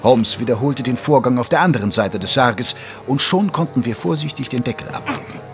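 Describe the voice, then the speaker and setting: An actor playing a Victorian gentleman doctor who narrates his detective friend's case in measured, literary audio drama style. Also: mid-range voice, natural voice. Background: music under the voice.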